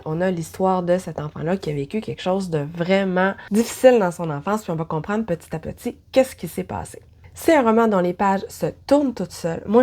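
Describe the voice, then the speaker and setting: A woman with a Québécois French accent reviews books to camera, her voice stuffy from a head cold. Her timbre is soft and dark, and she talks in a chatty way with self-deprecating asides. She sounds like she is recording at home. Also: medium pitch. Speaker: book vlogger. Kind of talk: casual book review vlog.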